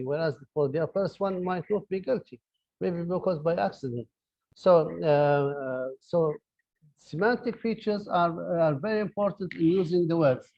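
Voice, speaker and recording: A middle-aged man with an Arabic accent delivers an academic lecture, stumbling through fillers and repeated words. His voice sits fairly high for a man. The recording is dull and lo-fi. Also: warm tone. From Libya. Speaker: university professor. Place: online lecture. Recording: dull lo-fi audio.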